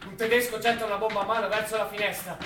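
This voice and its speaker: A man's voice: sits high, little pitch movement, rapid-fire. A man delivering dramatic scripted narration as a stage actor. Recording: echoey, distant, clearly noisy stage recording.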